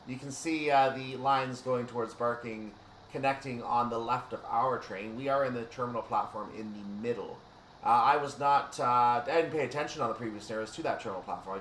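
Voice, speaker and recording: A man with a medium-pitched voice commentates on his train simulator session, unscripted and explanatory. He reasons aloud as he goes. The sound is echoey and set back from the mic, with a steady rumble behind him. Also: distant mic. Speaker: gaming video host.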